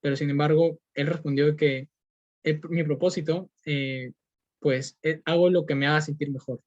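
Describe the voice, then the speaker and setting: A man's voice: fairly high pitch, steady tone, normal speed, no echo. A young man from Peru with a Peruvian Spanish accent, giving an earnest motivational podcast monologue full of fillers and restarts. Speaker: podcast host. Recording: dull lo-fi recording.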